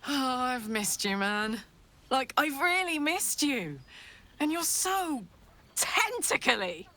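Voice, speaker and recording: An actress playing a brash, warm-hearted fighter in a fantasy game, exuberant, informal and full of emotion. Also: female voice, high-pitched, very animated, takes it slowly, full character voice. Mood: overjoyed. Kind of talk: dramatic game voice acting.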